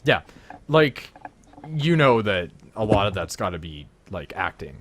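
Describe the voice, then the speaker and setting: A Canadian man with a slightly low-pitched voice, hosting a live game stream with friends. He talks in a loose, animated way, full of "like" and "you know", and airs his opinions freely. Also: a little slow, no room sound. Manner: opinionated, animated ranting with lots of fillers. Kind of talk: casual chat while playing.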